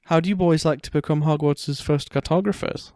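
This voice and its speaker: A man voicing a bold, excitable teenage boy wizard in a dramatic story reading. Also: on the high side, a little fast, no echo, studio quality, voice pushed up, natural voice. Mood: eager, scheming.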